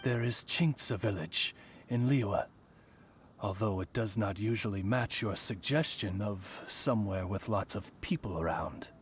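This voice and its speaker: An actor playing a courteous, composed adventurer in a video game, speaking in a formal, measured way. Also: male voice, lowish voice, slight background noise, lightly acted. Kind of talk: video game character acting.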